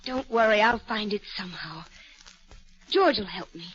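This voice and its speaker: A woman's voice: medium pitch, expressive, moderate pace, fairly bright tone, natural voice. An actress playing a distressed, determined young woman in a 1940s radio drama, earnest, pleading and insistent. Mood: defiant, distressed. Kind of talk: 1940s radio melodrama acting. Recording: muffled vintage 1940s radio recording.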